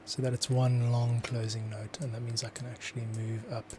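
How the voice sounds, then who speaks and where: A man's voice: mid-range voice, little pitch movement, brisk, background noise. A man, a composer, talking through his scoring process as he works, thinking aloud. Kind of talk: thinking aloud tutorial commentary.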